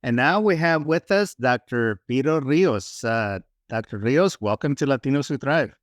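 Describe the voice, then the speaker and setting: A man's voice: medium pitch, lively intonation, normal speed, dry recording, clean studio sound. A man hosting a podcast who introduces and interviews guests in a friendly way, adding Spanish phrases and some "uh" fillers. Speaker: podcast host.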